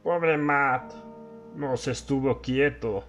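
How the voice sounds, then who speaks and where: A man's voice: medium pitch, slow, over loud music. A man playing a drunken, half-crazed old villager, sly and ranting, in a dramatized horror audiobook, with a rambling and insistent manner. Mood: brooding, ominous. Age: older. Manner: cackling, rambling, insistent questioning.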